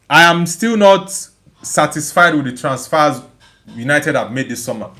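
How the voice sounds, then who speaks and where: A man's voice: a little high, fairly bright tone. A man hosting a casual livestream, reading out viewer comments and replying in an emphatic way, often repeating phrases as he thinks aloud. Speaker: livestream host.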